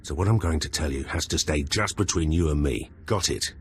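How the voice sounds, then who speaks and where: Cocky, low-voiced spy officer, conspiratorial. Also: male voice, brisk, a little hiss or hum. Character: brash male Imperial intelligence officer, scheming.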